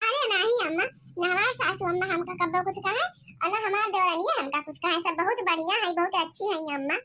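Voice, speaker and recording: A woman's voice: very high-pitched, fast, sharp tone, slight background noise. A woman voicing a meek, long-suffering village daughter-in-law in an Awadhi accent, plaintive, for a cartoon comedy. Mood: reassuring.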